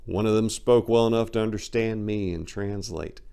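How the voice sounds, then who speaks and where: A man's voice: on the low side, fairly even intonation, moderate pace, vocal fry, warm tone, smooth. A man reading a memoir aloud as host, with a theatrical, emphatic delivery. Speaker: channel host and reader.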